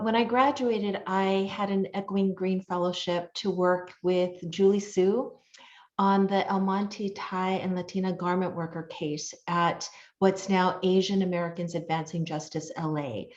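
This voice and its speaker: A middle-aged woman speaking earnestly on a panel, measured and full of fillers. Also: lowish voice, darkish tone, roomy sound, muffled recording. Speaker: panelist, labor studies teacher. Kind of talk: panel talk over video call.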